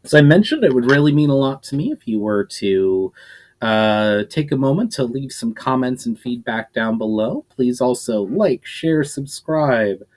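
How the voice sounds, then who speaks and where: A man who is the author reading his own novel aloud, narrating at a steady, unhurried pace with occasional slips. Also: mid-range voice.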